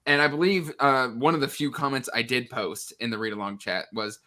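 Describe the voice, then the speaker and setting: A man's voice: medium pitch, a little fast, bright, forceful tone. A man in a casual book discussion, speaking with exasperated emphasis and stammering, repeated restarts.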